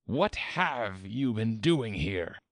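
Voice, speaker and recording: A man voicing a furious, imperious storybook queen, shrill and loud, severely shouting commands. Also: big pitch swings, slow pace, dry recording, exaggerated voice.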